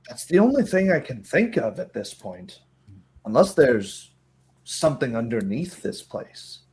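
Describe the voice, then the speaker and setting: A man's voice: mid-range voice, very animated, a little slow, darkish tone. A man narrating a tabletop roleplaying game as its game master.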